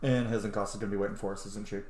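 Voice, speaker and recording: A man's voice: lowish voice, steady tone, racing, background noise. A man in his thirties giving casual, gushing gameplay commentary as a streamer, sometimes swearing. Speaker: let's play streamer. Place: small room at home, indoors. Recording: loud game music behind, roomy sound. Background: video game music.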